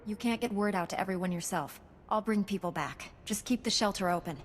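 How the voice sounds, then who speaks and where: A calm warrior-monk heroine voiced by a woman in a sci-fi game. She speaks at a middle pitch with measured self-assurance, over faint ambient noise. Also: close to an everyday voice. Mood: composed. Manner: composed, measured. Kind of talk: video game dialogue.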